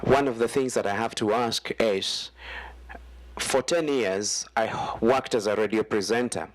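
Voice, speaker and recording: A man's voice: lowish voice, unhurried, low-quality recording. A man from Kenya with a Kenyan accent, asking the panel a long, formal question from the floor. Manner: formal, building his point. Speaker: audience member asking a question.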